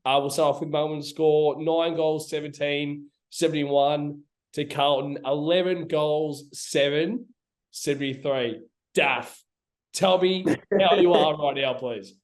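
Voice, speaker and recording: A croaky young Australian man hosts a casual chat, his voice sitting a little high. He sounds emotional and often trips over his words, restarting his sentences. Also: fairly even intonation, slow pace. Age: young adult. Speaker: podcast host.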